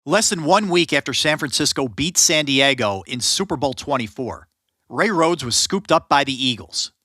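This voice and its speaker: A man's voice: medium pitch, lively intonation, moderate pace, pushed and bright, no room sound, clean studio sound. A man narrating a sports history podcast in a scripted style, joking with his co-host.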